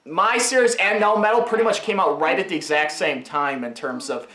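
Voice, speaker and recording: A man's voice: high-pitched, brisk, sharp tone. Chatty man in an echoey room. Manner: rambling, chatty, self-interrupting. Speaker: video creator giving commentary. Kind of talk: casual, unscripted commentary on own series.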